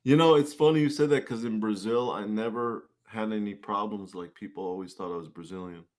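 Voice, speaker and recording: A man with a low-pitched voice chats casually as a guest in an interview. He speaks hesitantly, often doubling back to repeat or correct a phrase. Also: brisk, a little mellow. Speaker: guest, singer-songwriter and musician.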